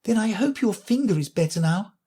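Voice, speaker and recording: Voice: medium pitch, expressive, a little fast, warm tone, breathy edge, pitched above the performer's own voice. A reader voicing a polite, earnest young girl of seven for a storybook. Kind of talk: storybook reading.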